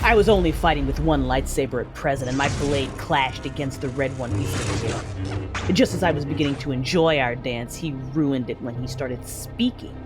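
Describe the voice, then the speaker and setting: A woman playing a cruel, battle-hungry Sith warrior who narrates her own fights with menace. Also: a bass voice. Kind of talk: first-person audio drama narration. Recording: loud music under the voice.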